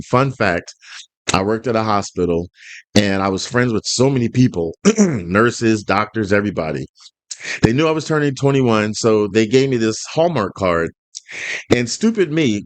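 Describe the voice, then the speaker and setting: Low-voiced guy in his 50s, chatty reaction host, dry close sound. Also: dry recording. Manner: rambling, earnest, with frequent ums and restarts. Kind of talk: casual reaction chat and storytelling.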